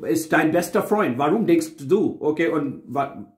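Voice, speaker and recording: A man's voice: fairly high pitch, animated, unhurried, roomy sound. A middle-aged man from Pakistan teaching German, patiently asking questions and repeating the answers back. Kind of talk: bilingual language lesson, asking questions.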